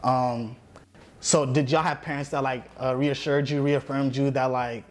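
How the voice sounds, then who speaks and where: A man's voice: medium pitch, fairly even intonation, a little slow. A man hosting a casual group discussion, asking informal, loosely phrased questions. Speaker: podcast host.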